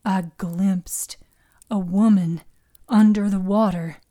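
A woman voicing a shaken, earnest young male who speaks haltingly in an audio drama. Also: mid-range voice, steady tone, mellow, close to an everyday voice. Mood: shaken, hesitant. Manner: halting, stammering.

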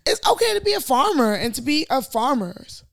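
A woman's voice: high voice, very animated, a little fast, vocal fry. A young woman on a podcast giving blunt, slangy opinions in a casual chat. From Africa.

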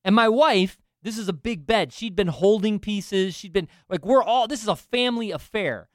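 A man's voice: sits high, moderate pace, no room sound, studio quality. A man in finance telling a self-deprecating story in casual chat, with lots of restarts and asides. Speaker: finance professional.

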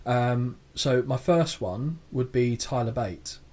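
A man's voice: mid-range voice, expressive, takes it slowly, a little mellow. A man co-hosting a wrestling podcast, teasing his co-host with sarcastic banter. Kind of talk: casual chat.

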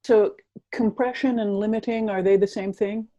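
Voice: medium pitch, steady tone, normal speed, warm tone, lo-fi sound. A person asking brief, curious questions in a casual chat about sound.

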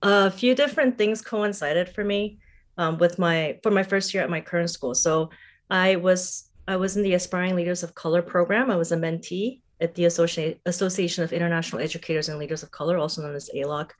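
Low-pitched, thoughtful woman interview guest. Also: fairly even intonation, a little fast. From Singapore and Thailand, born in South Korea. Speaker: podcast guest, educator. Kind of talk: conversational interview.

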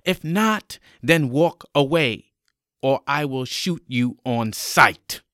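A man's voice: medium pitch, animated, slow pace, pushed and bright, no room sound, studio quality, pitched above the performer's own voice. A man voicing a hostile police officer in a dramatic story, barking threats and commands. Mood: threatening.

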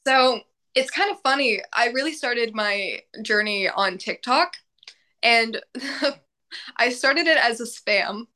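A woman's voice: fairly high pitch, lively intonation, slow, sharp tone. A young woman guest talking casually in a podcast interview, with many fillers and frequent laughs. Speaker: podcast guest, mental health advocate.

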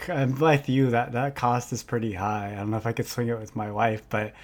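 A man's voice: medium pitch, level tone, a little fast. A man in his forties from Southern California, chatting eagerly and laughing easily as a podcast guest. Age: 40s. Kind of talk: casual chat.

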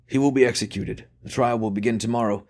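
A man reading an audiobook voices a frail, ailing old cardinal who speaks softly, wearily and kindly. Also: medium pitch, fairly even intonation, fast, pitched above the performer's own voice, natural voice. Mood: sombre. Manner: soft, weary, kindly. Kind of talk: audiobook character voice.

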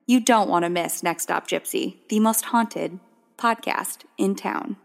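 A woman reads a scripted podcast promo in a dramatic way. Her mid-pitched voice has a gravelly, fried edge. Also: a little slow. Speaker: podcast host in an ad.

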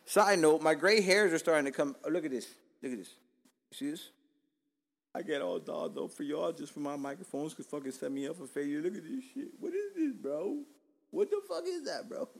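Profane laid-back Cuban-born podcast host. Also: male voice, on the high side, animated, brisk. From Cuba. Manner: profane, joking, laid-back street talk. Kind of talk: casual podcast chat.

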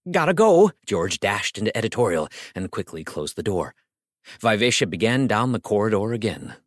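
A man's voice: lowish voice, normal speed, a bit bright, dry recording, studio quality. A man narrating a comic story as a scripted audiobook, theatrical and wry, savoring his phrases.

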